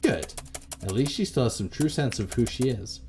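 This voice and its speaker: A man voicing a calm, pragmatic advisor on a phone call in a visual novel, measured and matter-of-fact. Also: mid-range voice, slight background noise, close to an everyday voice. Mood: measured, reassuring.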